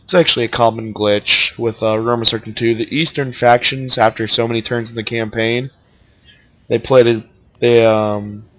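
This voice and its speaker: A man with a stuffed-up, nasal voice giving relaxed, casual commentary while playing a strategy game. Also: medium pitch, moderate pace. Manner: laid-back, thinking aloud as he plays. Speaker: let's play commentator.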